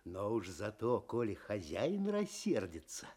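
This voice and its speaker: An actor playing a naive, humble farmhand in a fairy-tale audio play, soft, husky and hesitant, musing with sighs. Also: male voice, on the low side, wildly swooping pitch, measured pace, exaggerated voice. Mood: dreamy, musing.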